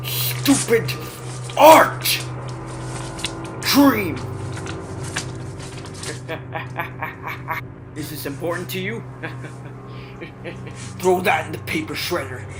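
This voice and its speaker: A man's voice: high voice, heavily acted. A man voicing a cruel middle-aged boss who mocks and bullies his worker, sneering and cackling, in a comedy sketch. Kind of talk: comedy voice acting.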